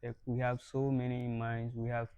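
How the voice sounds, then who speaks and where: A young man hosts a podcast in a relaxed, conversational way, often laughing and repeating words as he gets going. His voice is mellow and dark. The recording is dry and close. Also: mid-range voice, moderate pace, smooth, no echo, highs cut off. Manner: warm, laughs often, repeats words when starting a sentence. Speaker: podcast host. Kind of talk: casual podcast interview.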